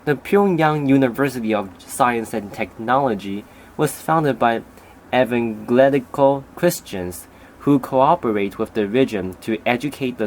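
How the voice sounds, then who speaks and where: A young man recites a prepared speech at a steady, measured pace, with the careful delivery of a student reading aloud. His voice sits in an ordinary middle pitch. A faint hiss of noise runs underneath. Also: low-quality recording.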